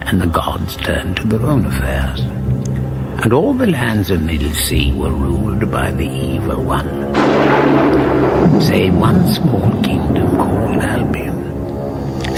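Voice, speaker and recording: An actor playing a grave old chronicler, narrating a mock-epic fantasy saga with portentous deadpan delivery. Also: male voice, low-pitched, vocal fry, full character voice. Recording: loud music under the voice.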